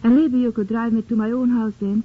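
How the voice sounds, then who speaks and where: An actress playing an educated, composed young widow in a mining town, speaking gently and politely. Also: female voice, fairly high pitch, steady tone, brisk, breathy edge. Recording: thin, phone-like old radio broadcast.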